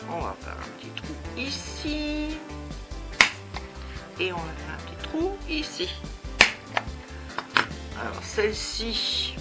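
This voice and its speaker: A woman with a cold hosting a casual crafting tutorial at home, chatty and full of hesitations. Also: mid-range voice. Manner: chatty, many hesitations and fillers. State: has a head cold.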